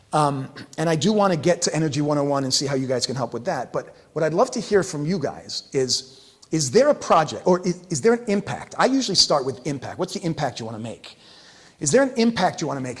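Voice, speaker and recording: A man hosting an informal live presentation as organizer, speaking in a halting, self-interrupting way with checking questions. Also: fairly high pitch. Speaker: conference organizer and host. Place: indoor event room in front of a live group. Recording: echoey hall with long reverb.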